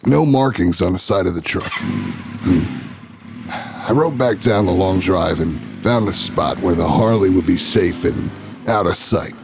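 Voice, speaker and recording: An actor playing a brash male talk show host, narrating his own investigation in an audio drama with a folksy, swaggering manner and dramatic pauses. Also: low-pitched, vocal fry, music in the background. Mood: conspiratorial. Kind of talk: scripted first-person narration in an audio drama.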